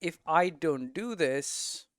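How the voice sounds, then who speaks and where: A man's voice: on the high side, animated, slow, no echo, clean studio sound. A man giving a casual, unscripted tutorial as a game developer, thinking aloud and often checking in with rhetorical questions. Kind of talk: casual, unscripted explanatory talk.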